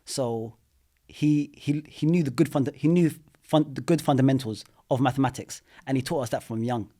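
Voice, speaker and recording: A man in his thirties talks as a podcast guest. He is relaxed and conversational, leaning on fillers and restarts as he tells his story. His pitch is ordinary for a man. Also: normal speed. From London. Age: 30s. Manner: relaxed storytelling, many fillers like "you know". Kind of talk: casual interview chat. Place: studio.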